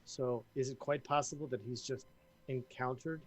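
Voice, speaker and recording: A man's voice: mid-range voice, fairly even intonation, moderate pace, faint music behind, lightly acted. A man playing a skeptical male companion at a tabletop game, asking pointed questions. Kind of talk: in-character tabletop roleplay.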